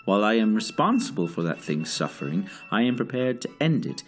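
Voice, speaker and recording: An actor playing a pompous, commanding director in an audio drama, with a formal, haughty and clipped way of speaking. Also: male voice, on the low side, big pitch swings, a little fast, soft background music. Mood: dry, measured. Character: pompous, commanding male director, clever and dryly imperious. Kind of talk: scripted audio drama acting.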